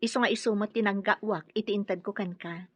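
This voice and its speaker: An actress playing a dutiful young Filipino daughter-in-law who stands up for herself in an Ilocano radio drama. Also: female voice, mid-range voice, fairly even intonation, fairly quick, dry recording, muffled recording, close to an everyday voice. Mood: indignant.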